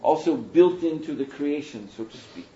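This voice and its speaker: Mellow-voiced man teaching in a roomy hall. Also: a little high, animated, muffled recording. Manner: thoughtful, unhurried, with pauses and soft laughs. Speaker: spiritual teacher answering questions. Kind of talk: question and answer talk.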